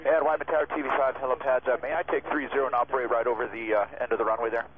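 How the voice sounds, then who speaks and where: A man's voice: medium pitch, fairly even intonation, very fast, a little hiss or hum. A man, a helicopter pilot, speaking courteously to the tower over aviation radio. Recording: tinny aircraft radio, busy background din.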